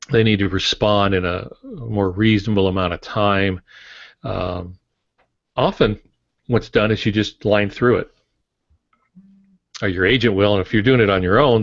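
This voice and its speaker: Deep-voiced older American man, roomy sound. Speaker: guest author and publishing expert. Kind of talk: conversational advice on a podcast. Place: home office, indoors. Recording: dull, roomy video-call audio.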